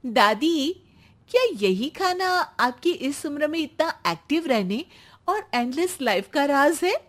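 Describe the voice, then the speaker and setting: A woman voicing a young daughter-in-law in a cartoon family story, pleading and quick to protest. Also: sits high, very animated, pitched above the performer's own voice. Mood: curious.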